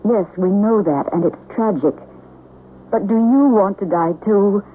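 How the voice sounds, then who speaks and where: A woman's voice: mid-range voice, a little slow, natural voice. A woman playing an earnest, insistent widow in a radio drama, urgently persuasive as she comforts a troubled man. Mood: grave sympathy. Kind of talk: 1970s radio drama acting. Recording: tinny old radio recording, faint noise.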